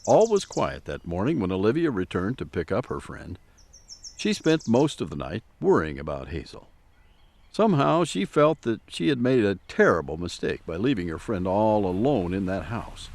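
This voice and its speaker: A man's voice: lowish voice, big pitch swings, normal speed, vocal fry, a little mellow. A man narrating an audio drama in a suspenseful storytelling manner with dramatic emphasis. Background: music soundtrack.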